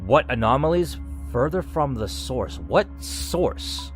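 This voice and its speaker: A man's voice: mid-range voice, very animated, slow pace, soft background music. A man voicing an earnest, timid woman scientist who asks anxious questions in a horror game.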